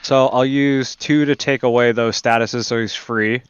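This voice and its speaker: A man's voice: medium pitch, steady tone, moderate pace, smooth, no room sound, dull audio. A man talking casually and thinking aloud as a player in a tabletop roleplaying game.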